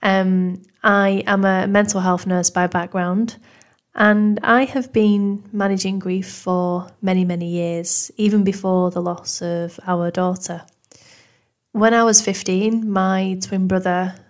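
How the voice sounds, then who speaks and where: A woman in her thirties from Manchester with a Manchester accent, hosting a podcast in a gentle, reflective, unhurried way. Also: medium pitch. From England. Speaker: podcast host. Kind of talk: personal podcast monologue.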